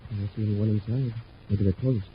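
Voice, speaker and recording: An eager young space cadet played by a man, chatty and respectful, talking quickly in a fairly low voice. It is old-time radio serial acting on a muffled recording. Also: fairly even intonation, slight background noise, lightly acted. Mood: wary.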